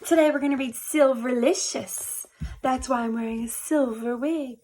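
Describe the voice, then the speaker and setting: A woman reading a picture book aloud to young children in an animated, expressive way, speaking to them directly. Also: high-pitched, moderate pace.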